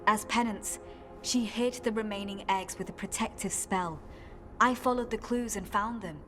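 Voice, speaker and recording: An actress playing a caring, grave former warden recruit in a video game, speaking in a measured, earnest way. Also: female voice, medium pitch, music in the background, natural voice. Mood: solemn.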